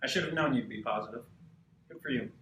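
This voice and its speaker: A man playing a slick, arrogant forty-ish boyfriend in a live table read, smooth and condescending. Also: medium pitch, fast talker, a bit bright, close to an everyday voice. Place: cramped bookstore event, live. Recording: echoey live bookshop, clearly noisy.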